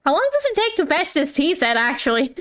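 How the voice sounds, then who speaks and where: Girl streamer w a high voice, just chatting along to the game and musing out loud. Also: sing-song, brisk. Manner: informal, musing aloud. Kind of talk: casual live gameplay commentary.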